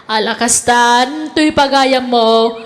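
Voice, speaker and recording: A woman with an Ilocano accent who hosts a radio drama and narrates a listener's letter in a slow, measured way. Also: on the high side.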